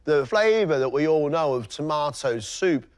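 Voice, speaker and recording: A man's voice: a little high, expressive, normal speed, smooth. A man working as a cooking competition judge, explaining the dishes with enthusiasm in a studio kitchen. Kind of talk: judging commentary.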